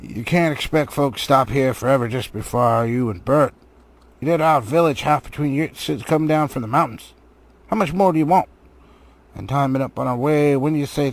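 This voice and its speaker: A man's voice: mid-range voice, gravelly, pitched above the performer's own voice. A man voicing a big, crude, grumbling troll in a storybook, with a coarse, blustering, slangy manner.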